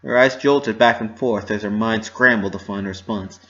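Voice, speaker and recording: Guy doing dramatic story narration, lowish voice, slightly muffled lo-fi mic. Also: normal speed, fairly bright tone.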